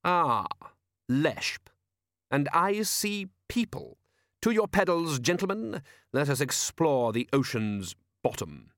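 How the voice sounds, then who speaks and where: A man voicing an eager, courteous, chatty gentleman inventor, cheerfully oblivious, in an audiobook story. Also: on the high side, very animated, slow, no echo, clean studio sound, exaggerated voice. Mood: eager, polite.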